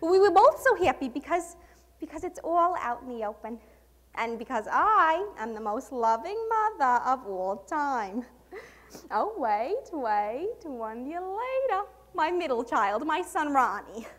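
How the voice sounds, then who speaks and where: A woman's voice: high-pitched, big pitch swings, unhurried, fairly bright tone, exaggerated voice. A woman performing a dramatic monologue as a nervous, gushing, proud middle-aged mother, theatrical and effusive. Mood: exasperated, gushing. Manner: theatrical, effusive, self-congratulating, stammering. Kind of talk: dramatic monologue in a speech competition.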